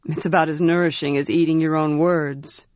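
A woman reading an audiobook, voicing a gentle, patient male wizard with dry, quiet humor. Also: deep voice, fairly even intonation, moderate pace, dry recording, lightly acted. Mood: calm, wry. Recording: dry, slightly dull audiobook recording.

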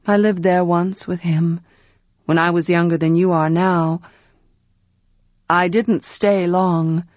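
A woman reading the part of a gentle, wise male wizard, who speaks in a quiet, soothing and measured way. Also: fairly low pitch, steady tone, no echo. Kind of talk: audiobook character reading. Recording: dull, muffled sound.

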